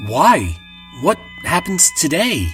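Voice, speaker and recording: A young businessman on a journey in an audio drama. His voice moves widely in pitch, earnest and questioning with growing unease. Music sits faintly behind him. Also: huge pitch swings, slow, a bit bright, exaggerated voice. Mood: puzzled. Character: young businessman traveler, polite but increasingly alarmed.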